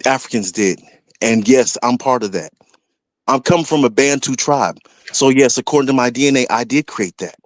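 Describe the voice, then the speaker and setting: A man's voice: medium pitch, normal speed, dry recording. A man calling in to a talk show who argues insistently and keeps repeating his points. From Oklahoma, United States. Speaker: caller.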